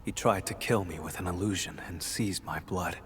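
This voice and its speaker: An actor playing a grave, tormented but loyal hunter in a video game, with a solemn, earnest delivery. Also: male voice, sits low, moderate pace, slight background noise. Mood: weary, regretful. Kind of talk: dramatic video game voice acting.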